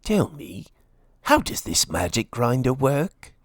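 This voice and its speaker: A man playing a greedy, pompous, blustering lord in a storybook, with a husky, straining voice and over-dramatic delivery. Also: medium pitch, huge pitch swings, slow, dry recording, clean studio sound, exaggerated voice. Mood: slyly oily.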